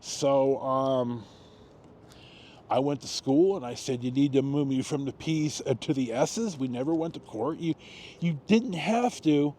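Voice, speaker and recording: A man in his sixties with an American accent, telling personal stories casually, in a halting, rambling way with frequent pauses and repetitions. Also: mid-range voice, mellow, a little hiss or hum. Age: 60s. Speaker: storytelling vlogger.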